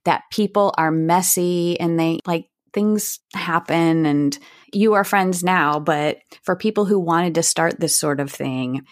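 A woman's voice: lowish voice, unhurried, no echo, clean studio sound. A woman hosting a conversational interview, thinking aloud as she asks informal questions. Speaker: podcast host, interviewer.

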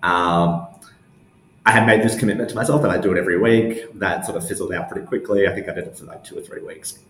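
A man's voice: low voice, fast talker, a bit bright. A man giving casual interview answers in a hesitant, meandering way, with lots of "like". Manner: hesitant, meandering, heavy use of "like". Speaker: interview guest, newsletter founder. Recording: roomy echo with faint background noise.

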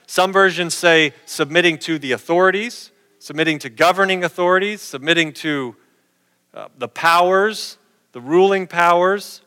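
A man's voice: high-pitched, moderate pace, sharp tone, smooth, in a large hall. A middle-aged man preaching a sermon in a conversational way, posing questions to his listeners.

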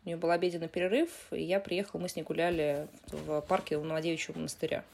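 A woman recalls past moments as an interviewee. Her voice is a bit low for a woman. She speaks at a fast clip. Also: flat delivery, gravelly, darkish tone. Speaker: interviewee, friend. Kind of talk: spoken recollection.

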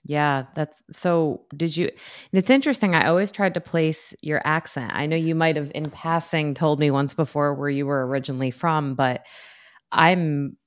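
Deep-voiced woman, relaxed rambling. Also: moderate pace, no room sound, clean studio sound. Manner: rambling, relaxed, many fillers. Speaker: psychologist and podcast co-host. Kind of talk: casual podcast chat.

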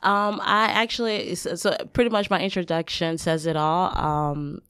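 A woman's voice: lowish voice, steady tone, normal speed, a bit bright, clean tone, no echo. A woman from Liberia, a podcast guest, telling her life story in an earnest, reflective way with light laughs. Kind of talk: conversational storytelling.

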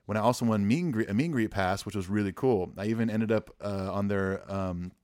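A man's voice: fairly low pitch, brisk, a little mellow, dry recording, studio quality. A man co-hosting a podcast, chatting in an easygoing, agreeable way and reading listener emails aloud. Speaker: podcast co-host and musician.

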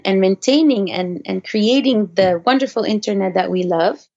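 A woman's voice: medium pitch, vocal fry, a little mellow. Dull-sounding woman, native French speaker, in interview. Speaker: interview guest, activist technologist. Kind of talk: conversational interview explanation.

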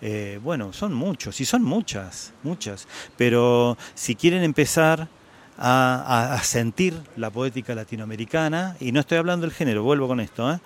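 A man's voice: mid-range voice, animated, moderate pace. A man in his sixties with an Argentine accent, a guest writer talking about his favorite authors at a book fair, reflective, repeating words for emphasis, with many hesitation sounds. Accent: Argentine Spanish. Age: 60s.

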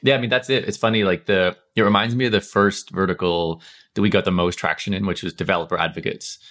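A man's voice: sits low, steady tone, fast, a bit bright. A Northern Irish man hosting a podcast, rambling casually with many fillers and restarts. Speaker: podcast host.

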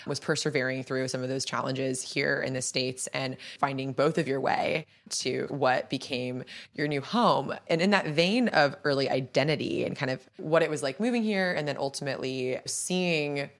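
A woman's voice: a bass voice, normal speed, few pauses. A young woman hosting a podcast, moving between scripted introduction and interview questions. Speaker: podcast host.

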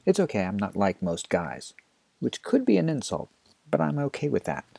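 A man's voice: lowish voice, wildly swooping pitch, normal speed, gravelly, dark tone, breathy edge, no room sound, exaggerated voice. A man playing a wry, awkward ninth-grade boy with a grown-up mind, hesitant and thoughtful, in an audio drama. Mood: shy, awkward.

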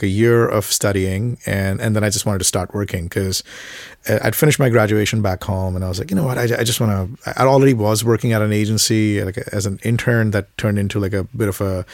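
A man's voice: on the low side, fairly quick, no echo, clean studio sound. A man from India in his thirties, a podcast guest telling stories casually, with many stammered restarts and fillers, acting out the voices of the people he quotes. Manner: animated, acts out quoted voices, laughs. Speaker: podcast guest, creative director. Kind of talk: casual interview storytelling.

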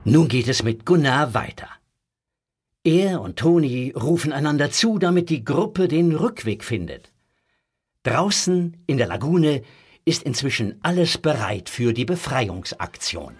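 A man's voice: medium pitch, lively intonation, a little slow, breathy edge. A man narrating a children's audio drama in a scripted storytelling style. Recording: music bed behind narration.

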